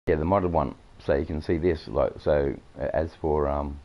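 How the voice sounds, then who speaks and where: A man with an Australian accent, croaky from coughing, rambling through farming-game commentary with many halting fillers. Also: very deep voice, dull audio. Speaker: gameplay streamer.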